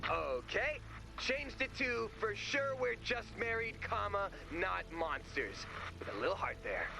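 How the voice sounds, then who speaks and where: A high, creaky, goofy sidekick. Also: male voice, very animated, unhurried, noisy background, exaggerated voice. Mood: earnest, eager. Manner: earnest, guileless. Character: eager, naive, goofy male sidekick. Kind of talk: animated video game voice acting.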